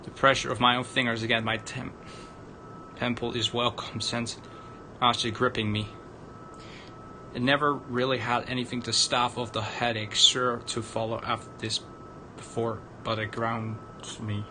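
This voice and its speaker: A man narrating a visual novel aloud on a livestream, dramatic but halting, stumbling over the written words. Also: flat delivery. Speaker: streamer, narrator. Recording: noisy room with low rumble.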